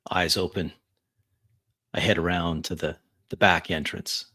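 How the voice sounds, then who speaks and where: A man playing a tabletop game, narrating his character's actions in deliberate, dramatic short phrases. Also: sits low, little pitch movement, bright, forceful tone. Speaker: player. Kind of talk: first-person roleplay narration.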